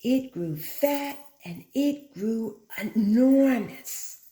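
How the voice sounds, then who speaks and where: A woman reading a picture book aloud like a teacher, with simple, instructive phrasing and expressive stress on key words. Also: a little high, very slow. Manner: simple, instructive phrasing aimed at young children. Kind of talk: animated children's picture book read-aloud. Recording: echoey room.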